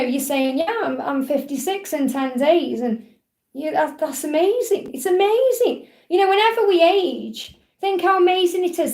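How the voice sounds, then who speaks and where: High-voiced chatty woman in her forties. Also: a little mellow. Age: 40s. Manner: chatty, upbeat, reads viewer comments aloud. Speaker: livestream host. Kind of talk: casual live chat. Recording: echoey room.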